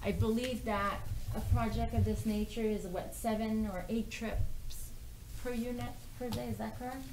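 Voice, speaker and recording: A woman's voice: on the high side, fairly even intonation, moderate pace. A middle-aged woman explaining things as a city official in a meeting room, insistently posing questions and answering them. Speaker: city official presenting to a planning board. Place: indoor municipal meeting room. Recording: faint noise, meeting room recording.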